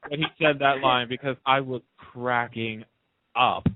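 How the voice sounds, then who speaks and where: A man's voice: mid-range voice, steady tone, measured pace, a little hiss or hum. A man chatting casually on a radio panel, opinionated and emphatic, repeating himself to make a point. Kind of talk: casual chat about TV soaps. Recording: muffled lo-fi phone-line radio sound.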